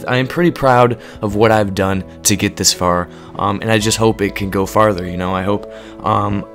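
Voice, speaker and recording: A man in his twenties with a hoarse voice, monotone and rambling, talking earnestly and unscripted to his viewers. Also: fairly low pitch. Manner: rambling, earnest, many ums. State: hoarse, voice going from illness. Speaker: gaming YouTuber. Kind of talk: unscripted casual talk to camera.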